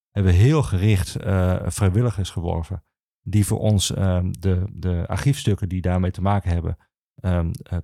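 A man speaking as a guest in an informal podcast interview, explaining his work as an archivist in halting phrases with frequent pauses. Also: low-pitched, dark tone, no echo, clean studio sound.

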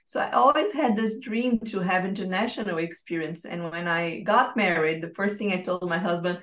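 Lower-pitched woman with a Brazilian accent. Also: moderate pace, a bit bright. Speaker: podcast guest, expat family coach. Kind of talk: conversational personal storytelling. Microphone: remote call.

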